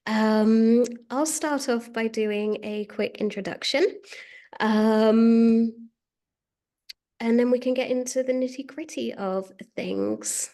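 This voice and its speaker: A woman's voice: a little high, slow pace, warm tone. Chatty woman hosting a webinar. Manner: chatty, many fillers. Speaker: webinar host, marketing director. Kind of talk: presenting a webinar.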